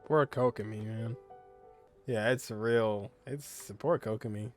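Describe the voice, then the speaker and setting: A man's voice: lowish voice, takes it slowly, faint music behind. A man giving casual gameplay commentary in a laid-back way, with short muttered asides. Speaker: gaming streamer.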